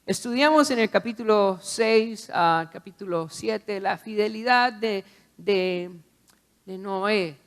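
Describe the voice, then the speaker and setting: A man's voice: sits high, takes it slowly, a bit bright, clear, resonant tone. A man preaching a sermon, exhorting the listener with repeated phrases and rhetorical questions.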